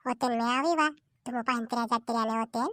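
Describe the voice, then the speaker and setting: A woman's voice: fairly high pitch, fast talker, a bit bright, no room sound, close to an everyday voice. A woman voicing a nosy older village woman who keeps questioning the young wife. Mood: prying. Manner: prying, questioning.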